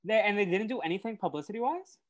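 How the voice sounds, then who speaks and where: A woman's voice: mid-range voice, lively intonation, fast. A woman with a nasal voice co-hosting a podcast, recapping casually and chattily, slipping into quoted lines as she tells it. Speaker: podcast co-host. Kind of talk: casual episode recap and chat.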